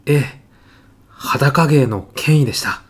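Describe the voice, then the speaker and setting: A man's voice: medium pitch, slow, husky. A man playing an earnest, solemn physicist disciple. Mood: deadpan, reverent. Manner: formal, solemn, deadpan, grandiose storytelling. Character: earnest, reverent male physicist, devoted protégé of late professor. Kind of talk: audio comedy sketch acting.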